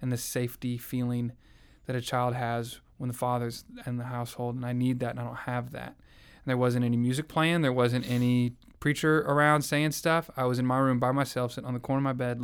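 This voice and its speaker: A man's voice: mid-range voice, little pitch movement, fast talker, hardly any pauses, clear, resonant tone. Earnest young man. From American South. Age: young adult. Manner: earnest, reflective. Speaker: interviewee sharing his faith story. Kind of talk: personal testimony in conversation.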